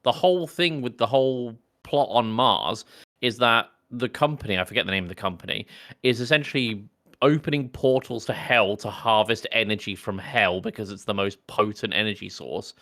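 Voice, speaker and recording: A man with a low, bright, forward voice co-hosts a casual film podcast. He explains things in a wry, opinionated way and is not shy of swearing. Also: moderate pace. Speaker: podcast co-host.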